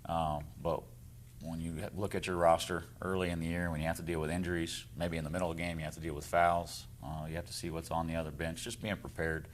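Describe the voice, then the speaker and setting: A man's voice: low voice, little pitch movement, fast, a little hiss or hum. A man with an American accent answering casually in an interview as a basketball coach. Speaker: boys basketball coach and teacher.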